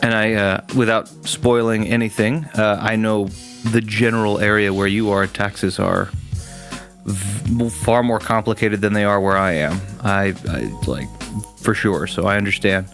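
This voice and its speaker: A man with a lowish voice talks to his stream chat from home, rambling and restarting his sentences with plenty of fillers. He sounds relaxed and conversational, and faint music plays underneath. His streaming mic sits close. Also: measured pace. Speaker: gaming streamer host. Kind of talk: casual chat with stream viewers.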